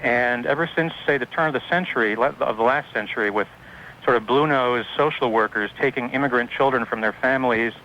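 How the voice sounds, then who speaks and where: Male American talk-radio caller, bookish, formal commentary, lo-fi sound with slight background noise. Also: medium pitch. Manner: bookish, formal wording in measured lists. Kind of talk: opinionated phone-in commentary. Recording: dull, noisy radio phone-in.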